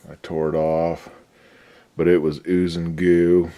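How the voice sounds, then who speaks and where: A man's voice: low-pitched, level tone, slow, mellow. A man restoring an old radio at his workbench, giving rambling, folksy commentary with a lot of swearing. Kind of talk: casual workshop commentary.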